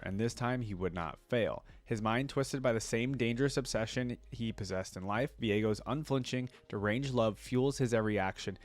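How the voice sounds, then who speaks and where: A man's voice: on the low side, no echo. A man hosting a reaction video, reading lore aloud and adding casual commentary.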